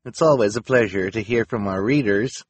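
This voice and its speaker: A man's voice: fairly low pitch, normal speed, slightly rough, dry recording, pitched above the performer's own voice. A man voicing a self-important, sensation-hungry female newspaper reporter in a storybook reading, eager and pompous. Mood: smug.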